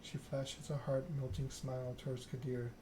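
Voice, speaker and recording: Man reading softly paced ASMR, even-toned. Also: mid-range voice, normal speed, noisy background. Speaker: streamer reading a narrative game aloud. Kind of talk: ASMR narration of game text.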